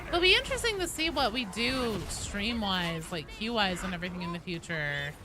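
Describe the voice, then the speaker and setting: A woman's voice: mid-range voice, expressive, normal speed, background noise. A woman doing casual live game commentary as a streamer, chatty, exclamatory and freely swearing. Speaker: game livestreamer.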